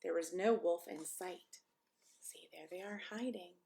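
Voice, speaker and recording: A woman's voice: on the low side, unhurried, creaky, mellow. A woman pastor reading a children's story aloud expressively, with chatty asides to young listeners.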